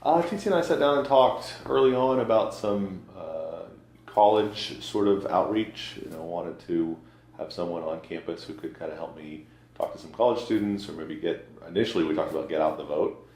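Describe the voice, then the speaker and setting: A man's voice: low-pitched, lively intonation, moderate pace, a little mellow. A man talking casually in a documentary interview. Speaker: church acquaintance and local mayoral candidate interviewed. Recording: echoey room, faint noise.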